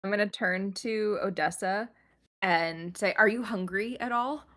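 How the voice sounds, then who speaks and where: Brief: female tabletop player, bright crisp tone, medium pitch, casual improvised roleplay. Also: a little slow. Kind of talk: casual in-character roleplay chat.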